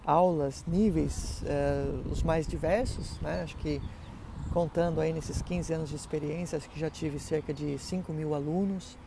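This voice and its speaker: Mellow-voiced male language teacher. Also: on the high side, creaky, slight background noise. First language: Portuguese. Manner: conversational, many fillers and tag questions. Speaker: German teacher. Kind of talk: explaining online course details to students.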